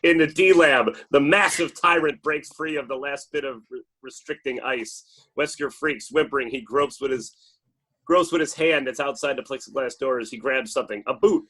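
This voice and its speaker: A man with a mid-pitched voice narrates a screenplay. He reads scripted action lines aloud in the loose setting of a table read. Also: normal speed, sharp tone, husky. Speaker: narrator reading stage directions.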